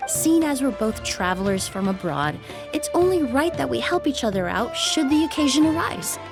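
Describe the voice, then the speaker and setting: A woman's voice: high voice, sing-song, normal speed, vocal fry, over loud music, heavily acted. A woman playing a courteous, analytical perfumer in a video game, speaking in a formal and precise way. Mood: calm.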